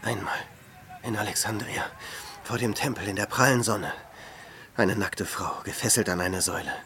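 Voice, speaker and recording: An actor playing a tormented, self-pitying ascetic hermit in a radio drama, anguished and swinging between whispers and cries. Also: male voice, lowish voice, normal speed, pushed and bright, a little hiss or hum. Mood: haunted, rapt.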